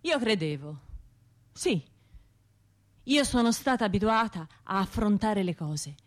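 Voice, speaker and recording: An actress with a Tuscan accent playing a wounded, self-reproaching bombing survivor in an intimate radio drama monologue, halting and colloquial. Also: female voice, mid-range voice, expressive, close to an everyday voice. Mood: bitter self-reproach. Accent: Tuscan Italian. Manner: halting, colloquial, shifting between murmurs and outbursts.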